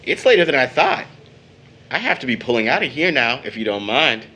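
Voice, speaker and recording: An actor playing an outspoken young man in an audio drama, with an emphatic, expressive delivery. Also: medium pitch, fairly quick, husky, heavily acted. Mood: brisk, teasing. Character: Tom, impassioned outspoken young brother, teasing. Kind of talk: stage play audio drama acting.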